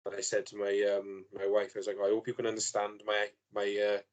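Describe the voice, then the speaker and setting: Flat-voiced Welsh man, chatty guest. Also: on the low side, quick pace. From Wales. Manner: friendly, chatty, hesitant. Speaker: podcast guest. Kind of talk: casual chat.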